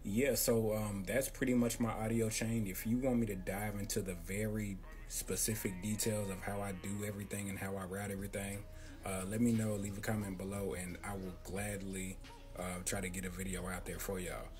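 A man in his thirties with a deep, boxy voice, talking close to a handheld mic as he casually walks through his audio setup in a small home room. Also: little pitch movement, moderate pace, darkish tone, faint music behind. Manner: laid-back, slangy, talks close to the mic. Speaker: content creator explaining his audio setup. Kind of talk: casual tutorial. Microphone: handheld close-up microphone.

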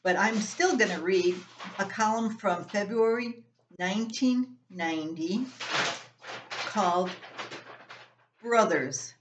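An older woman in her seventies reads a family column aloud at a slow, unhurried pace. She is plain-spoken, and her voice carries the echo of a room at home, picked up from desk distance. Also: medium pitch, background noise. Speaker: columnist reading own work. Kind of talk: reading a personal story aloud. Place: small room at home, indoors.

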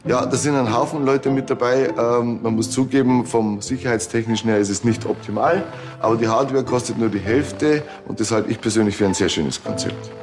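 An actor playing a casual, self-justifying tech geek in a TV crime comedy, a little hesitant. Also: male voice, medium pitch, fairly quick, music in the background, lightly acted. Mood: defensive. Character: laid-back, evasive male tech geek helper.

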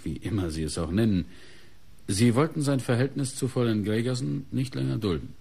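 A man's voice: medium pitch, lively intonation, fairly quick, vocal fry, a little mellow, slightly rough. An actor playing an insistent male police inspector who questions probingly in a radio crime play. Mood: pressing. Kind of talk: German radio play acting.

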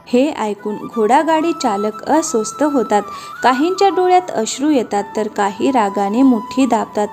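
Higher-pitched woman narrating a cartoon story. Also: lively intonation, normal speed. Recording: music behind the voice.

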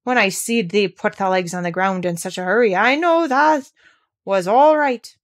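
A woman's voice: mid-range voice, normal speed, natural voice. A woman voicing a crabbed, dry but tender old male gardener with a Yorkshire accent in a storybook. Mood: dry exultation. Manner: blunt, dry, exclamatory.

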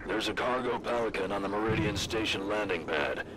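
An actor playing a gruff, territorial male colony governor in a video game, sardonic and grudging. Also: fairly low pitch, brisk, background noise, close to an everyday voice. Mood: grudging, wry. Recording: loud music and game sound behind.

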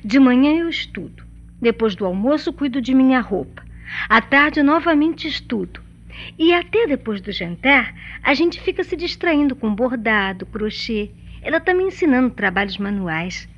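High-voiced eager country daughter. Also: female voice, expressive, normal speed, highs cut off. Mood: eager, respectful. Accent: Brazilian. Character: eager, respectful country daughter, young bride-to-be. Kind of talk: radio soap opera acting.

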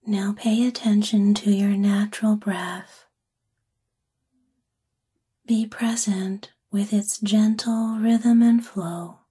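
Woman, very breathy near-whisper, slow, mellow, gentle, soothing, sleep meditation guide. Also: mid-range voice, fairly even intonation. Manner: gentle, soothing, unhurried phrasing.

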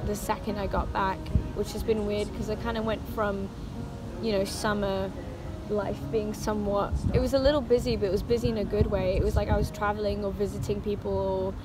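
Young woman, twenties, casual student vlogger, mid pitch, music underneath. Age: 20s. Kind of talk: casual chat to camera.

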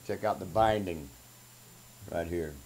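A man, talking casually and unhurriedly in short folksy fragments as he pitches something for auction. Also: unhurried, a little mellow, slight background noise. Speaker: musician presenting a guitar for a charity auction. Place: small indoor room at home. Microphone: microphone on a stand at guitar height. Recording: acoustic guitar playing around the voice. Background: acoustic guitar.

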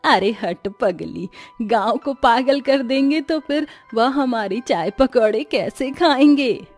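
A woman's voice: a little high, expressive, slow pace, a little mellow, faint music behind, full character voice. Woman acting a chiding older village mother-in-law. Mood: scolding. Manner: chiding, exclamatory. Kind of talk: Hindi cartoon story acting.

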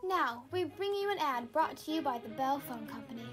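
A woman's voice: high voice, expressive, fairly quick, over loud music. A woman playing a polished, promotional announcer reading a scripted old-fashioned radio advertisement. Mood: upbeat, promotional.

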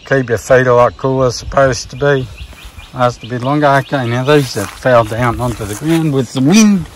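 A man with an Australian accent casually walking through his hiking gear, relaxed and rambling with plenty of ums and restarts, filmed on a phone outdoors. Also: mid-range voice, normal speed, slight background noise. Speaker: vlogger. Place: outdoors at a campsite by a river.

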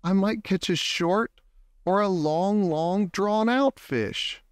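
Male storybook reader, unhurried and clear-toned, stretching words for emphasis in an expressive children's read-aloud. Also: sits high, no echo, clean studio sound. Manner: deliberate phrasing, drawn-out words for emphasis.

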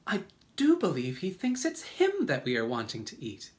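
A giant female ladybug from a children's story, voiced by a man. The voice is lifted high and lively. She exclaims softheartedly and sounds gentle and reassuring. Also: moderate pace. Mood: pitying.

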